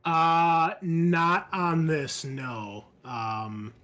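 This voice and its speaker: A man's voice: on the high side, lively intonation, drawn-out words, a bit bright. A man working as game master, narrating a tabletop role-playing game in a conversational way and thinking aloud as he makes rulings. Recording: music playing under the voice. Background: game background music.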